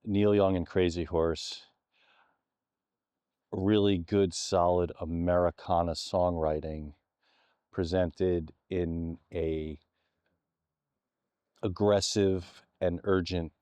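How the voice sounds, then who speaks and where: A man answering interview questions thoughtfully, pausing often with ums. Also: low voice, little pitch movement, dark tone, clean tone, no room sound.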